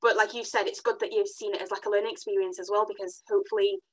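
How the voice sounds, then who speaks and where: A woman hosting a podcast, chatting casually and warmly affirming her guest with enthusiastic interjections. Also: mid-range voice, flat delivery, racing, rough recording. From Africa. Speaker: podcast host.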